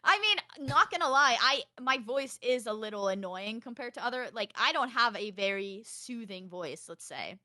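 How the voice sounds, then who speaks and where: A young woman with a high, loud voice chatting casually as a podcast guest about her gaming life, outspoken and emphatic, swearing freely. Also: expressive, moderate pace, few pauses. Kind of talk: casual chat, animated anecdotes.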